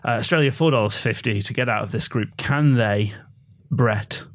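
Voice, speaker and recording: A man's voice: lowish voice, normal speed. A man hosting a sports betting podcast, leading a casual chat in a joking way and handing the talk over to his guests. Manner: jokey, leads the talk and hands over to guests. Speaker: podcast host.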